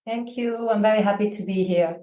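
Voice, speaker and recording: A woman with a French accent answering interview questions as a guest lawyer, with frequent pauses and fillers. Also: mid-range voice, mellow, echoey room. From France. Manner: hesitant, many fillers, careful phrasing.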